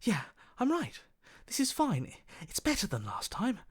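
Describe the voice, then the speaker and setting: An actor playing a paranoid, jumpy inner voice in a video game, speaking in a frantic, anxious and agitated way. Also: male voice, high voice, huge pitch swings, a little slow, breathy edge, exaggerated voice. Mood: panicked. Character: panicky, paranoid male inner voice, jumpy and pessimistic.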